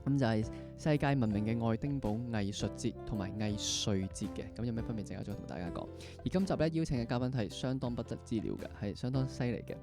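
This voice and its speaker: A man's voice: mid-range voice, animated, fast talker, vocal fry, few pauses, music in the background. A man with a Hong Kong accent hosting a conversational interview podcast. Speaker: podcast host.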